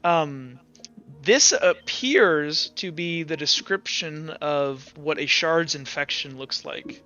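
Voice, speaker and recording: A man narrating a tabletop game as game master, improvising with halting, backtracking explanations. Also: fairly high pitch, bright, forceful tone, clear, resonant tone. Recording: slightly dull audio with music.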